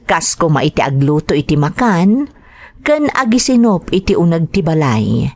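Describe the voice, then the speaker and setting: A woman's voice: on the low side, darkish tone. A woman narrating a dramatized first-person story in an Ilocano audio drama.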